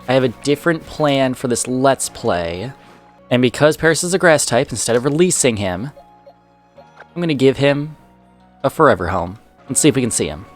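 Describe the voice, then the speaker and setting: A man commentating his own let's play with an everyday middle pitch, chatty and quick to react aloud to each turn. He sounds slightly sick, his voice a touch off. Faint game music plays underneath him. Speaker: gaming channel host.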